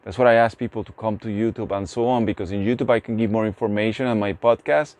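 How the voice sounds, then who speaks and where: A man's voice: fairly low pitch, steady tone, quick pace, a little mellow, dry recording. A man from Puerto Rico speaking English with a Puerto Rican Spanish accent, talking casually to camera with many restarts. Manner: rambling, many restarts and fillers. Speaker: vlogger.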